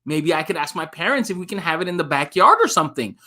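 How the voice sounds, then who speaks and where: A man's voice: on the high side, expressive, quick pace, pushed and bright, exaggerated voice. A man voicing a cheeky, helpful schoolboy best friend in a storybook. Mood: keen, cheeky.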